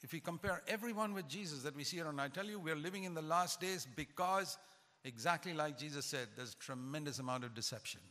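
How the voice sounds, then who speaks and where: A man's voice: fairly high pitch, quick pace, pushed and bright, in a large hall. A man who is a pastor, preaching a sermon with emphatic, repetitive cadence.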